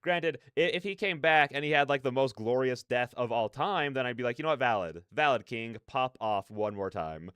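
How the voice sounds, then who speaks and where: A man's voice: medium pitch, lively intonation, normal speed, hardly any pauses, a bit bright, smooth, dry recording, clean studio sound. A man hosting a livestream, chatting casually and animatedly in slangy, rambling opinions. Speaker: livestreamer, reaction host. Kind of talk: casual livestream chat with viewers.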